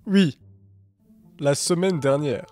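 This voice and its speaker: A man's voice: on the high side, slow pace, a little mellow, faint music behind. A man voicing a bored, blunt young prince.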